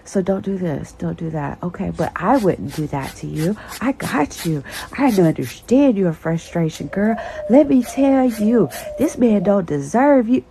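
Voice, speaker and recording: A woman's voice: mid-range voice, lively intonation, unhurried, gravelly, few pauses. A woman giving frank, emphatic relationship opinions in a blunt monologue. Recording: noisy recording.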